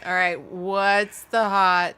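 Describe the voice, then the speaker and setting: A woman's voice: lowish voice, level tone, takes it slowly, pushed and bright, clear, resonant tone. Casual woman co-hosting a livestream. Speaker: co-host reading out live chat.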